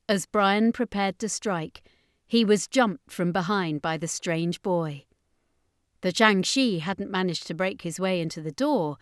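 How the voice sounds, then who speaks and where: A woman's voice: lowish voice, dry recording, clean studio sound. A woman reading a horror fantasy story as scripted audiobook narration.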